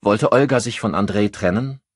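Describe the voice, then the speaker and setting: A man voicing an irritable male police inspector who presses for answers, insistent and sharp, in an audiobook crime story. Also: lowish voice, normal speed, slightly rough, dry recording, clean studio sound, voice pushed up. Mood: probing.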